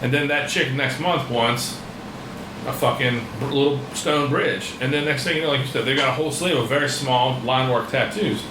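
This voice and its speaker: A man's voice: mid-range voice, normal speed. Man in his forties, roomy noisy recording. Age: 40s. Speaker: podcast host, tattoo artist. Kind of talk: casual conversational interviewing. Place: indoors in a tattoo shop. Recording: roomy, noisy air-conditioned room.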